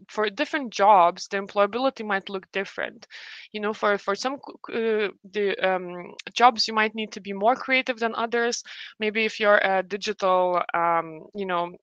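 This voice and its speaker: A woman's voice: mid-range voice, fairly bright tone, no echo, dull audio. A woman in her late twenties from Lithuania, speaking English with a Lithuanian accent, giving a friendly university webinar presentation with many fillers and restarts. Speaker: university representative.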